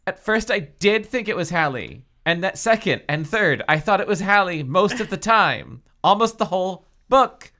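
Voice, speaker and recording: A man's voice: mid-range voice, huge pitch swings, moderate pace, a bit bright. A man voicing a bitter, wronged young woman from a storybook with emphatic melodrama. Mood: emphatic, accusing.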